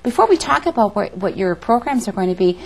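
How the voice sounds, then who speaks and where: A woman's voice: mid-range voice, big pitch swings, fast talker, slightly rough, low-quality recording. A woman hosting a talk show, introducing her guest and asking questions. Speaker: show host and interviewer. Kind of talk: interview, introducing and prompting a guest.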